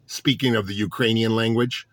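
A man's voice: lowish voice, fairly even intonation, normal speed, dry recording. A man delivering a political monologue to his audience, sarcastic and full of rhetorical questions. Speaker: political commentator.

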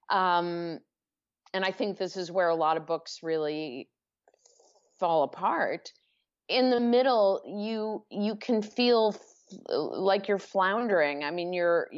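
A woman author answering interview questions thoughtfully, circling back with restarts and fillers. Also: lowish voice. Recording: dull lo-fi audio.

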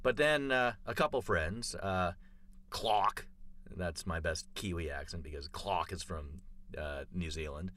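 A man's voice: low-pitched, unhurried, fairly bright tone. A man chatting casually on a podcast, telling a long story full of fillers and restarts. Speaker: podcast co-host. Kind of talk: casual chat.

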